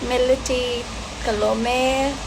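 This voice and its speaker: Woman, higher-pitched, relaxed Q&A answers with lots of ums; constant background hiss. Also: slow, gravelly. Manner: relaxed, hesitant with fillers, mixing languages. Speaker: film actress answering fan questions. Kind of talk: casual question and answer.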